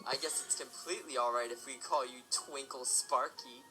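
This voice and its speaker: A man's voice: medium pitch, steady tone, normal speed, over loud music, natural voice, poor audio. An actor playing a teasing, protective middle-aged father in an audio drama.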